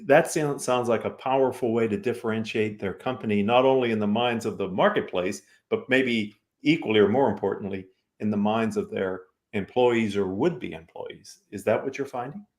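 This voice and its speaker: A man speaks as a talk show host in a business interview. His voice sits at an ordinary middle pitch, and he poses thoughtful, conversational questions.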